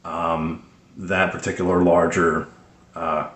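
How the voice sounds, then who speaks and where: A man giving casual, unscripted product tips as a hobbyist presenter, explaining in a hesitant, halting way. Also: low-pitched, level tone, fairly bright tone, echoey room.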